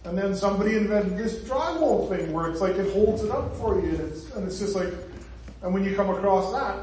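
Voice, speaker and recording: A man's voice: high voice, expressive, fairly quick, a little mellow, a little hiss or hum. A man from Northern Ireland with a Northern Irish accent, giving a conversational sermon with jokes and asides to the congregation. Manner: chatty and joking, with asides to the congregation. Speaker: preacher. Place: church hall. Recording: echoey hall, far from the mic.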